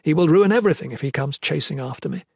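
A man's voice: fairly high pitch, expressive, fast, gravelly, a little mellow, breathy edge, no echo, pitched above the performer's own voice, full character voice. A resolute, self-sacrificing mother as a male narrator plays her speaks earnestly and formally in a fantasy audiobook. The voice is set a little above his normal speech, close and dry. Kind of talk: fantasy audiobook character reading.

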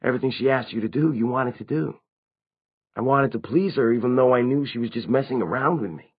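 A man's voice: mid-range voice, brisk, husky, muffled recording, pitched above the performer's own voice, natural voice. A man voicing an anxious, earnest, defensive teenage vampire in an audiobook story, with emphatic delivery.

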